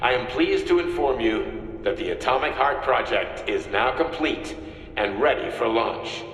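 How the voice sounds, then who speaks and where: A man's voice: mid-range voice, animated, a little slow, a bit bright, a little hiss or hum. A man voicing an official in a video game, giving a formal, ceremonious address to a political council. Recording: roomy echo from a distance.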